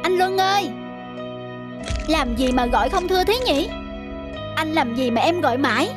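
A woman voicing a young city wife, grumbling but soft-hearted, in a cartoon family drama. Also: sits high, lively intonation, normal speed, over music. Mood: nagging. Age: young adult.

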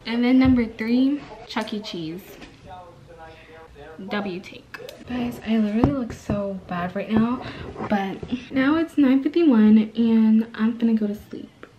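A woman's voice: fairly high pitch, slow pace, dull audio, a little hiss or hum. A teenage girl talking casually to her viewers in her bedroom and kitchen, chatty and often dropping to a whisper, into a handheld camera close to her face. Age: teens. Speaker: vlogger. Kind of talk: casual day-in-the-life vlog. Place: bedroom and kitchen at home, indoors.

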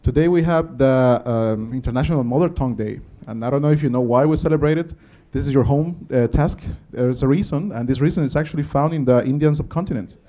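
Man, thirties, native Spanish speaker, Guatemalan accent, very mellow dark timbre, conversational public lecture, handheld mic. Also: mid-range voice, fast talker, few pauses. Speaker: conference speaker. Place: conference auditorium. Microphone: handheld microphone.

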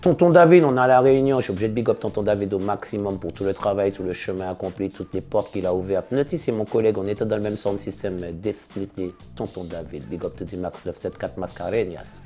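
Brief: relaxed fifties male singer-DJ, low mellow voice, studio interview, soft music bed. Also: fast, hardly any pauses. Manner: relaxed, laid-back, with slangy big-ups. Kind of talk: casual interview answers. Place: studio in front of blue backdrop.

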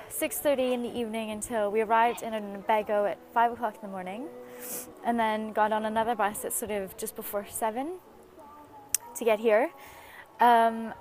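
A woman's voice: medium pitch, a little hiss or hum. A woman in her thirties vlogging outdoors while travelling, talking casually into a phone held at arm's length, rambling with lots of ums and likes. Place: outdoors at scenic sites. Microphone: phone at arm's length with earphone mic.

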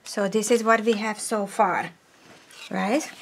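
Woman, slow, crafting tutorial, faint background hiss. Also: medium pitch, steady tone. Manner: slow, step-by-step, drops to a whisper while working. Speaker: papercraft tutorial host. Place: craft table indoors, overhead camera.

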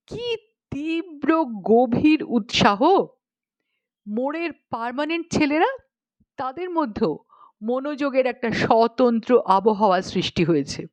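A woman's voice: a little high, a little mellow, dry recording. A woman audiobook narrator reading a humorous story expressively.

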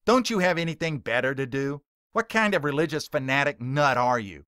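A man's voice: mid-range voice, animated, fairly quick, bright, forceful tone, smooth, no echo, studio quality. A man reading a story voicing a hostile, bold heckler who rants sharply and accusingly. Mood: angry. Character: hostile young male heckler, bold and scornful.

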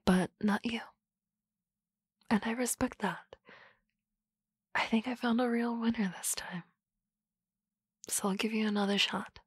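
A woman playing a teasing, confident office boss in a soft, close, whispered ASMR roleplay. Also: a little high, fairly even intonation, unhurried, long pauses, breathy edge, no room sound.

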